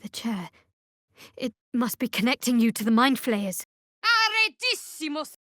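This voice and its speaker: A guarded young woman cleric who serves a dark goddess. Her voice sits a little high, with a wry, sardonic edge to the delivery. The recording is very dry, as game dialogue with no room around it. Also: very animated, unhurried, no room sound, full character voice. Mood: wary, observant, then incanting. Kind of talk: video game character voice lines.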